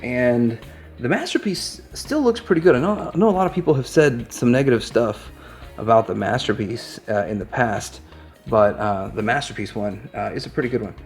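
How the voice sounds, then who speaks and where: A man's voice: mid-range voice, expressive, normal speed. A man presenting an unscripted product review, rambling in an easygoing way as he thinks aloud while handling items, with music behind him.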